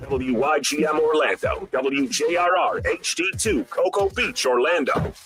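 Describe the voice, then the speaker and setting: A man's voice: a little high, very animated, measured pace, vocal fry, a bit bright. A man doing scripted radio station announcements with a punchy promo delivery.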